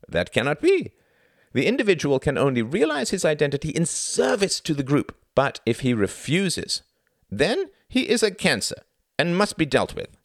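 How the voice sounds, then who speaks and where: A man's voice: a little high, huge pitch swings, normal speed, no room sound, studio quality, pitched above the performer's own voice. A man voicing a proud, scornful young nationalist academic from Germany in an audiobook, with a declamatory, insistent delivery.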